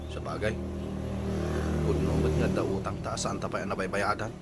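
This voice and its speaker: A performer playing a gruff, grumbling husband in an Ilocano comedy radio drama, with an Ilocano accent. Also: deep voice, fairly even intonation, moderate pace, lightly acted. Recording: loud background noise.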